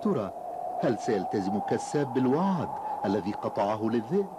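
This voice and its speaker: A man's voice: on the low side, big pitch swings, brisk, heavy background noise. A man narrating a scripted cartoon tale in a formal, dramatic storytelling manner.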